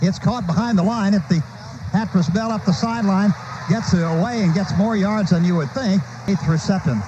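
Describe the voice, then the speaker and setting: A man's voice: high-pitched, fairly quick, dull audio. An American man doing live football play-by-play on radio in short, clipped phrases as the action happens. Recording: noisy archival radio sports broadcast, stadium ambience.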